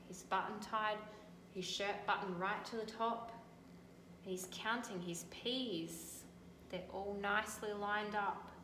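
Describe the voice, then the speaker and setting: An Australian woman teacher reading a picture book aloud and gently pointing out details in the pictures. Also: medium pitch. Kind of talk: storybook read-aloud with commentary on pictures. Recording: echoey room, faint background noise.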